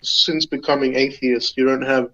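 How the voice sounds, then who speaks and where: The recording is dull and lo-fi. A man of average pitch speaks as a podcast guest with quite even intonation, chatting casually in brief replies and questions. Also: moderate pace.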